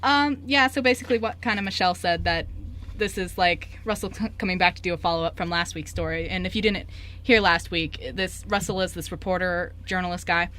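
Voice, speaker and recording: A woman's voice: lowish voice, brisk, a bit bright. A woman co-hosting a radio show in casual chat, giggly and informal, rambling as she explains. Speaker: radio show co-host and writer. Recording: background room noise.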